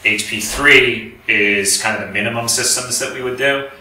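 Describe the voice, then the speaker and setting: A man's voice: medium pitch, normal speed, sharp tone. A man giving an informal, explanatory technical presentation to an audience, recorded from a distance in a room. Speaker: lecturer, company representative. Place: indoor lecture room with audience. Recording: roomy, distant mic, faint background noise.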